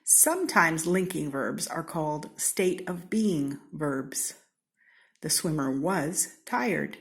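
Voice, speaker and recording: Casting: American woman, slightly low voice, unhurried and very animated, for children's picture-book read-alouds with playful emphasis. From Seattle, United States. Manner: theatrical, deliberate, with playful emphasis and whispered asides. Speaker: storybook reader.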